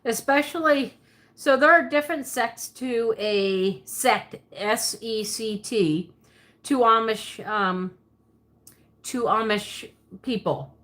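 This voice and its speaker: A woman's voice: on the high side, slow pace, fairly bright tone. A woman from Pennsylvania with a Pennsylvania Dutch accent and a Southern twist, rambling casually and laughing as she answers viewers on a livestream. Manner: chatty and rambling, laughs often, self-correcting. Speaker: livestream host.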